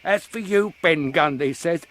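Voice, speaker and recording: An actor playing a half-crazed marooned old sailor in a radio drama, rambling and repeating himself. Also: male voice, a little high, measured pace, a bit bright. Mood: rambling, aggrieved.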